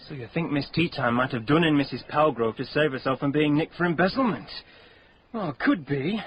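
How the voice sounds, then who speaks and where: An actor playing a blunt, cheeky young detective sergeant in a radio drama, speaking in a breezy, colloquial way. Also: male voice, on the high side, expressive, brisk, lo-fi sound, a crowd in the background, close to an everyday voice.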